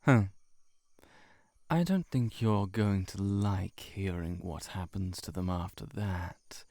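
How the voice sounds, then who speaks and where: A man voicing a cynical, seductive heart-eating shapeshifter monster in an intimate audio roleplay, sardonic and measured, sometimes near a whisper. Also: fairly low pitch, mellow, dry recording, studio quality. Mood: cold, detached.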